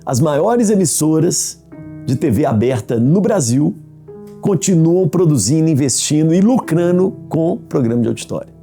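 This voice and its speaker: A man's voice: fairly high pitch, lively intonation, normal speed, warm tone, faint music behind. A Brazilian man giving explanatory commentary, posing questions and answering them himself.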